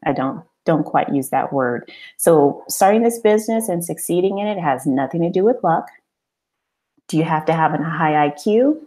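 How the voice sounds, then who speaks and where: A woman with a low voice leads an online webinar as a business coach. She speaks in an encouraging, conversational way, posing questions and answering them herself. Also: a little mellow. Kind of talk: informal webinar presentation.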